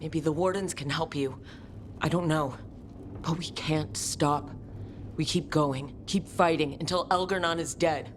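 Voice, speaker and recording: A woman's voice: low-pitched, fairly even intonation, unhurried. An actress playing a determined woman hero who leads a fantasy resistance, resolute and plain-spoken, in a dramatic game cutscene. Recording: clear ambient noise behind the voice.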